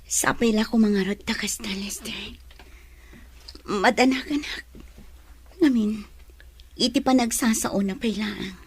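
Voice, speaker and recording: A woman acting a shy, breathy young sweetheart. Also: fairly high pitch, takes it slowly, long pauses, full character voice. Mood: shy, worried. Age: young adult. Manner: hesitant, soft-spoken. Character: shy, anxious young woman in love, a dutiful daughter. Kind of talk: audio drama acting.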